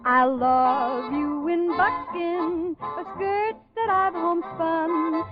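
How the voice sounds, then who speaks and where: Female singer, 1940s novelty swing, high and unhurried, on a dull old recording with prominent music. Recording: muffled vintage recording with loud band. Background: musical accompaniment.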